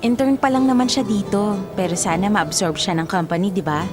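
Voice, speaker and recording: A woman's voice: mid-range voice, brisk, natural voice. An actress playing a supportive, modest young woman in a radio drama, speaking earnestly. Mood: modest, fond. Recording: faint music behind.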